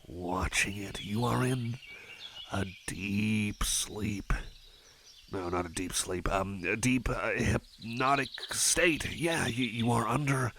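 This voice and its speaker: A man's voice: on the low side, gravelly, pushed and bright, slight background noise, heavily acted. A man playing a shy, friendly cyborg chum in an ASMR roleplay, talking in a hesitant, stammering, rambling way. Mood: slow, hypnotic. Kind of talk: personal attention ASMR roleplay.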